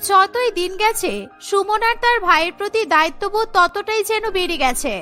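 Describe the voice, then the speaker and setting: A woman's voice: extremely high pitch, normal speed, bright, forceful tone, faint music behind. A woman narrating a scripted cartoon story.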